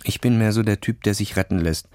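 A man's voice: on the low side, brisk, darkish tone, clean tone, dry recording, studio quality, close to an everyday voice. A man voicing an anxious, timid boy who tries to seem cool, in a children's audio story with a hesitant manner. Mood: forced casual. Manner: hesitant, uneasy, short replies.

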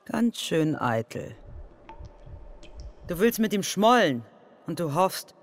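An actress playing a provocative, mocking inner voice that needles a wife in a radio drama. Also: female voice, on the low side, very animated, takes it slowly, heavily acted. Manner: mocking, insinuating.

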